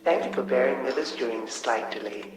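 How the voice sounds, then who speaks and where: An actor playing a polite android stewardess with formal, scripted courtesy in a science-fiction radio comedy. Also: male voice, medium pitch, fairly quick, echoey room, big-room sound, close to an everyday voice, low-quality recording. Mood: bland, polite.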